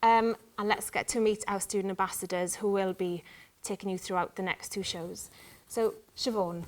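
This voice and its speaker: A woman's voice: mid-range voice, fairly even intonation, moderate pace. A woman hosting a live online show, upbeat and encouraging as she introduces guests and asks them questions. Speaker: host. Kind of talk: presenting a live stream show.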